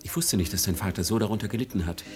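A man's voice: on the low side, rapid-fire, soft background music, natural voice. An actor in a radio play playing a reserved, earnest and brooding man. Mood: concerned. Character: reserved, earnest, brooding son of a rich merchant. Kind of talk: German radio play acting.

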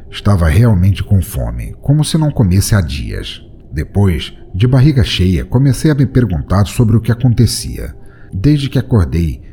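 A man's voice: low-pitched, mellow, slight background noise. A man narrating a first-person horror story in a dramatic, tense storytelling manner. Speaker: narrator and podcast host.

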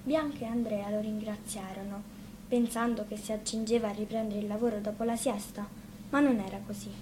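A woman reading a fairy tale aloud as an expressive storybook narrator. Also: fairly high pitch. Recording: faint noise, live radio reading.

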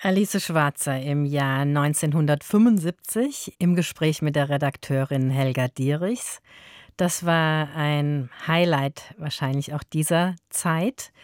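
A woman's voice: low-pitched, unhurried, a little mellow, no echo, clean studio sound. A woman hosting a radio programme, presenting and putting questions in a conversational style. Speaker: radio host. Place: dry studio.

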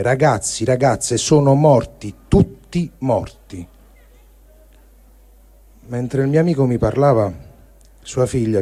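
A man giving a grave, deliberate dramatic reading of a written diary, phrase by phrase. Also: medium pitch, mellow, breathy edge. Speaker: reader of written testimonies.

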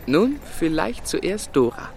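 An actor playing an earnest, ardent young gentleman in a German period radio drama. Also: on the high side, big pitch swings, takes it slowly, creaky, slight background noise, heavily acted. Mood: warm, troubled. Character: earnest, lovestruck young gentleman of Victorian England. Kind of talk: German radio play acting and narration.

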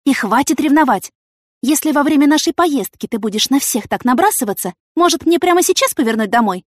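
A woman's voice: high voice, expressive, a little fast, no room sound, clean studio sound, voice pushed up. A woman voicing a vain, impulsive, dramatic young woman in an audiobook, with theatrical, indignant exclamations. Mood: sassy, defiant. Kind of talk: audiobook character acting.

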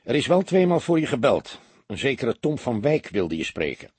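A man's voice: medium pitch, sing-song, moderate pace, darkish tone, husky, no room sound, pitched above the performer's own voice. A man voicing an old police desk sergeant, wry and teasing, in an audiobook story. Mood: businesslike. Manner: colloquial, teasing.

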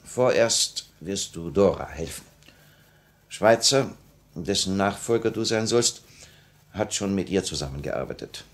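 A revolutionary cell leader in vintage German radio drama. His voice sits low and he speaks with composed, deliberate authority, rising easily into formal proclamation. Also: animated. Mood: calm, assured. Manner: measured, commanding, proclamatory at times. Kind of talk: 1950 German radio play acting.

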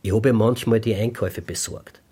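Husky, shifty petty thief. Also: male voice, lowish voice, fairly even intonation, brisk, warm tone. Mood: defensive, pleading. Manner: evasive, pleading, rambling confession. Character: shifty male petty thief, pleading his innocence. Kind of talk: radio drama acting.

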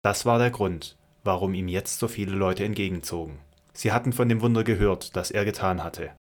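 A man narrating a scripted Bible audio drama in a measured, solemn storytelling manner. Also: low voice.

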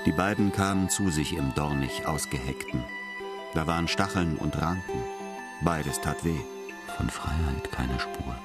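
A man's voice: very deep voice, measured pace. A man narrating a literary radio drama in a scripted, storytelling style. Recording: music bed under studio voice. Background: music.

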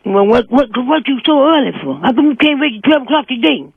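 An elderly woman, sounding sleepy, talking casually on the phone and haltingly repeating her words. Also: high voice, lively intonation, vocal fry, slightly rough, dry recording. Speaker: prank call recipient. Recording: tinny narrowband phone line.

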